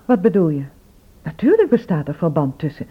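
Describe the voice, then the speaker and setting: An actress playing a frank, sharp-tongued, wry woman shopkeeper in a radio drama. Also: lowish voice, very animated, fairly quick, slightly rough. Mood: frank, concerned. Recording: thin phone-like old radio recording.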